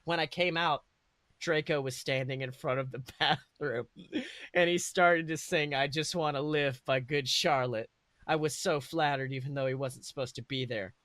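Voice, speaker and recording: Male podcast host, bright dry sound, theatrical and amused mock-serious fanfiction narration. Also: medium pitch, steady tone, normal speed, dry recording. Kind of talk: mocking storytelling, reading fanfiction aloud.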